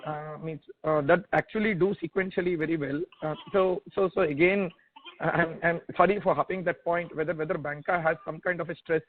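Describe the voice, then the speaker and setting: A man with an Indian accent asking questions as an analyst on a conference call, halting and repeating his words over a phone line. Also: on the high side, steady tone. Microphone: telephone conference call. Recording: tinny narrowband phone line.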